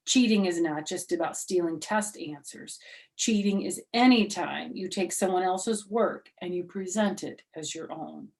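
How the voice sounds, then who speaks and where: A firm but patient male teacher from a children's storybook, read by a woman. He explains rules deliberately and emphatically, admonishing a pupil without losing his patience. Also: fairly low pitch, a little mellow. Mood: stern, disappointed.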